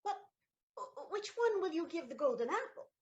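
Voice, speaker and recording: A woman's voice: very high voice, sing-song, moderate pace, a little mellow, dull audio. A woman playing a noble countess in an audio play, tender at times and stammering when caught. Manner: flustered, stammering. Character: flustered noble countess, anxious to hide secrets. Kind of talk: stage audio play acting.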